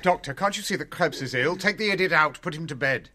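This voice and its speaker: An actor playing an imperious, impatient rich villain in a radio drama. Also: male voice, a little high, lively intonation, fast talker, bright, forceful tone. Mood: brusque, commanding.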